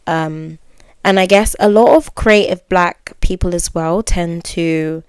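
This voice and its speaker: Solo podcast host, woman, candid and musing, smooth clear tone. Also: on the low side, slow pace, a little mellow, dry recording, studio quality. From United Kingdom. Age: 20s. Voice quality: well-spoken. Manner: candid, musing, many fillers and self-corrections. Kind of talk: reflective solo podcast monologue.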